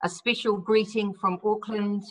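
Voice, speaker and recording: Brief: NZ-female tour guide, mid-pitched, measured and gentle. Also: fairly even intonation, poor audio. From New Zealand. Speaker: tour company co-owner and guide. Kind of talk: interview answers.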